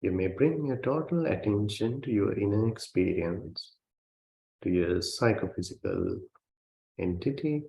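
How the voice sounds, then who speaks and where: A man who is a Buddhist meditation teacher, guiding a meditation in a gentle, measured way with long pauses between phrases. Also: on the low side, animated. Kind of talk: guided meditation. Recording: roomy, reverberant sound.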